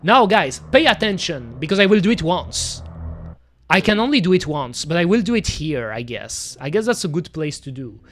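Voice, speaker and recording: Belgian-accented, French-native streamer; chatty casual game commentary, very animated pitch. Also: normal speed, slight background noise. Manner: chatty, talks to chat, lots of "you know" and "pretty much".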